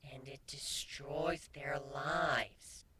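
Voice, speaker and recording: A woman playing a brazen, teasing female pony with a breathy, husky voice in a dramatic fan fiction reading. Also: very deep voice, fairly even intonation, extremely slow, sharp tone, exaggerated voice. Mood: pressing. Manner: sly, blunt, provocative.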